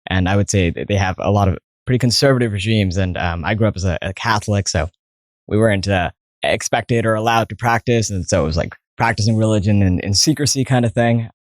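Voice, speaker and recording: A man's voice: lowish voice, fast, dry recording. A young man in his twenties with an American accent, telling stories as an interview guest, full of fillers and restarts. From born in Saudi Arabia, raised partly in New Jersey. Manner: many fillers and restarts, storytelling. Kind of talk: casual interview talk.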